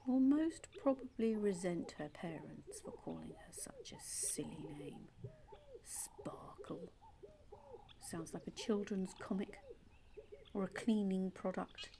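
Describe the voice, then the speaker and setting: A woman's voice: mid-range voice, gravelly, dark tone, exaggerated voice. A woman with an English accent playing a solitary, wistful woman in an audio play monologue, confiding and reflective. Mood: wry, disapproving. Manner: musing, reflective, with tag questions and pauses. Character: solitary, wistful adopted woman searching for her birth mother.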